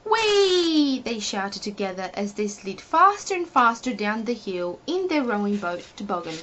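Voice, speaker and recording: A woman reading a children's story expressively, addressing the young listener with playful questions in a husky voice. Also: medium pitch, sing-song, normal speed, sharp tone, a little hiss or hum. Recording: dull lo-fi home recording.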